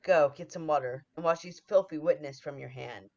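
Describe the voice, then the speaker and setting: A woman playing a ruthless, scheming noble lady who drives her husband on, in 1940s radio-play style, with urgent forceful delivery. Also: low-pitched, moderate pace. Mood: commanding. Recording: dull lo-fi sound.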